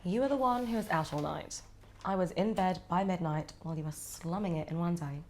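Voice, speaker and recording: A woman's voice: fairly low pitch, fairly quick, lightly acted. An actress playing a sardonic, teasing, probing woman in a bickering romantic couple, in naturalistic stage drama dialogue. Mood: accusing, teasing. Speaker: actor. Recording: clear ambient background noise.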